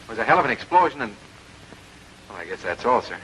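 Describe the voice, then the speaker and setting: A man's voice: mid-range voice, sing-song, fast, lightly acted. An American actor playing a wry young fighter pilot telling of his sortie as voice-over in a 1940s military film. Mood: subdued. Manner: wry, colloquial, confessional. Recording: very noisy old film track, engine drone. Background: loud aircraft engine hum.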